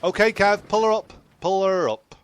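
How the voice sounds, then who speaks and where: A man's voice: sits high, normal speed, clear, resonant tone, close to an everyday voice. A man playing a flustered castaway in improvised comedy audio, repeating himself with bossy, apologetic urgency. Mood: urging. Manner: repetitive, flustered. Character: flustered male castaway, bossy yet apologetic, easily giggling.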